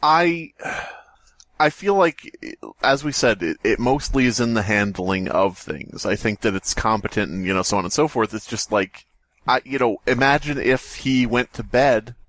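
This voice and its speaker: A man's voice: on the low side, fairly bright tone, no room sound. A man hosting a podcast, talking casually about stories in a rambling, jokey way. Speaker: podcast host. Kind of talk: casual story discussion.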